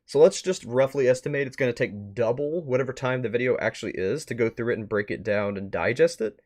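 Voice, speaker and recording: A man hosting a livestream in a casual chatty style, rambling a bit as he thinks out loud. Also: mid-range voice, lively intonation, fast talker. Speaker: streamer and host. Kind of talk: casual livestream wrap-up chat.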